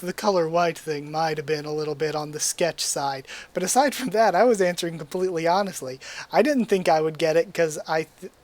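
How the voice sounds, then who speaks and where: A young man losing his voice, chatting casually at his webcam as he reads and answers a quiz, rambling with lots of ums and likes. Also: sits high. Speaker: YouTuber. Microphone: desk mic at a webcam setup.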